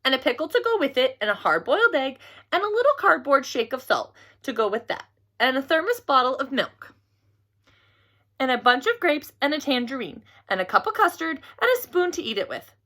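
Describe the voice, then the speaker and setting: Woman voicing Albert, an upbeat, very animated schoolboy. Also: high-pitched, fairly quick, heavily acted. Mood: chipper. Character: Albert, friendly schoolboy proud of his neat lunch.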